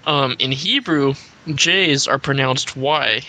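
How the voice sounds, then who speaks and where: A man's voice: mid-range voice, takes it slowly, pushed and bright, poor audio. A man in a casual tech chat who explains things carefully, with some repeated starts.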